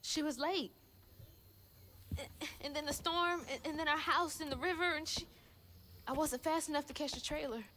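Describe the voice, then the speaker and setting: A woman playing a plucky, stubborn young Southern woman in a video game, earnest and expressive, with an American Southern accent. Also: high-pitched, normal speed, long pauses, a little hiss or hum. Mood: upset, determined. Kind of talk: video game cutscene acting.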